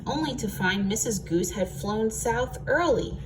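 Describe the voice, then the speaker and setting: A woman reads a picture book aloud at an unhurried pace, pausing to explain things and put questions to young listeners like a teacher. The recording is noisy, with the microphone some way off. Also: on the high side, recorded from a distance. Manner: expressive, explains words and asks listeners questions. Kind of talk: read-aloud storytelling with asides to children.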